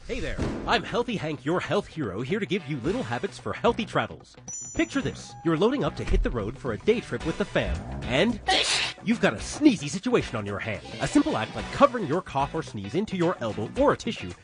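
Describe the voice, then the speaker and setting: A peppy health-hero mascot for a radio spot, friendly and helpful. He talks fast in a highish, animated voice with music clearly behind him. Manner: upbeat, chummy. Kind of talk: public service radio spot.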